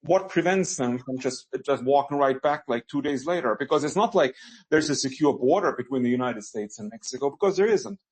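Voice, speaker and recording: Guest, man of middle pitch, even measured delivery, frequent "basically". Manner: measured, hedging with "basically" and "right?". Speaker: podcast guest. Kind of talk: casual political chat.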